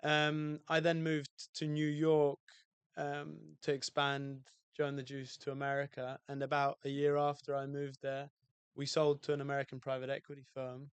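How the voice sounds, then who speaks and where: A man with a British accent, a guest on a podcast, talking in a relaxed, reflective way with many fillers and restarts. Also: a little high, moderate pace, smooth, no room sound. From UK, outside London. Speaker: guest, hotel owner. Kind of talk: casual podcast interview.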